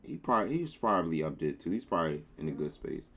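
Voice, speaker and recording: A man's voice: sits low, sing-song, quick pace, fairly bright tone. A man hosting a reaction video at home, chatting casually with playful slang.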